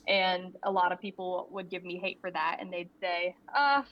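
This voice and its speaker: A woman's voice: fairly low pitch, fairly even intonation, normal speed. A young woman, a student animator, answering interview questions in a candid, rambling way with easy laughs. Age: young adult. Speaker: guest, indie animator and creator. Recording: slightly muffled lo-fi audio with faint background ambience.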